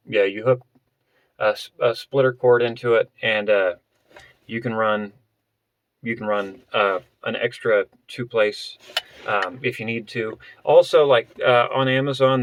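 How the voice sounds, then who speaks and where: A man vlogger explaining gear in a casual, unscripted way, halting and often rephrasing as he goes. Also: medium pitch, no room sound. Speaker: vlogger presenting a budget gear setup.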